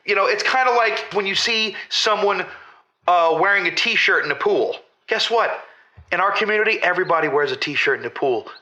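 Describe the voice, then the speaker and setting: A man playing an earnest, chipper convention organizer calling in to a comedy radio show, pitching like a salesman. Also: expressive, moderate pace, pushed and bright. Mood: earnest enthusiasm. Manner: earnest salesman patter with hesitant fillers. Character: earnest, chipper male convention organizer promoting a prudish community. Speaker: caller. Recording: roomy, echoing voice pickup.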